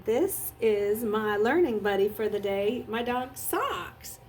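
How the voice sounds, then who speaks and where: A middle-aged woman, a teacher, reading aloud and speaking to her students in an expressive storytelling manner. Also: mid-range voice, slow, slight background noise. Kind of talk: expressive narration of a children's novel and chat to students.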